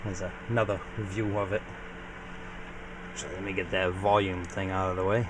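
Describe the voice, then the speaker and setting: A man's voice: sits low, little pitch movement, moderate pace, noisy background. A man giving a casual, informal equipment walkthrough as a hobbyist video host, explaining things plainly. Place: home garage.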